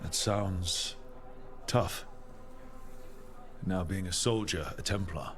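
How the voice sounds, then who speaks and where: An actor playing a calm, inquisitive fantasy adventurer in a video game, measured and sympathetic. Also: male voice, low voice, background noise. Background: music.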